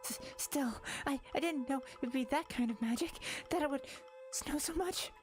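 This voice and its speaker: A timid teenage girl, voiced by a woman in a visual novel dub. She stammers, pleads and drops into breathy whispers when upset, fragile and easily shaken. Music plays softly behind her. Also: high voice, normal speed, voice pushed up, lightly acted. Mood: distraught, pleading. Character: timid, distraught teenage girl slowly turning giddy. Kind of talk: visual novel fan dub, dramatic reading.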